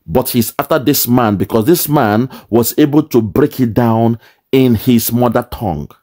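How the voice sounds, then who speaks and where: A man's voice: medium pitch, moderate pace, mellow. A man commenting on a video clip, indignantly explaining and repeating his points with rhetorical questions.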